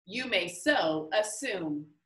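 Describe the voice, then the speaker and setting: A woman's voice: medium pitch, lively intonation, takes it slowly, fairly bright tone, pitched below the performer's own voice. Woman reading as a showy, eccentric game-maker. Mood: dry. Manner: theatrical, playful announcing. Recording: roomy, somewhat distant mic.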